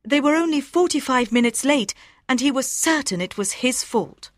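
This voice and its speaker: Female storybook narrator, animated pitch, crisp enunciation, dry close studio sound. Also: moderate pace, no echo. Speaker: audiobook narrator.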